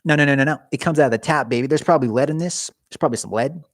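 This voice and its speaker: A man's voice: a little high, rapid-fire, no room sound, clean studio sound. A young man chatting casually on a live stream from his garage, rambling and joking with viewers, his voice getting worn. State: losing his voice.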